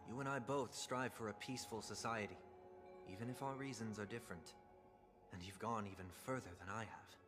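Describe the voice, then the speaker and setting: A man playing a soft-spoken, formal and solemn young gentleman in a video game, speaking in a measured way. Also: fairly low pitch, music in the background, natural voice. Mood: reflective. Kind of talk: dramatic video game dialogue acting.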